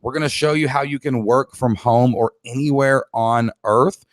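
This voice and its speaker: Male webinar host, Southern US accent, average pitch, dry close sound, emphatic and folksy coaching delivery. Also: steady tone, normal speed, dry recording. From Kentucky, United States. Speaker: online business coach, podcast host. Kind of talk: live webinar training presentation.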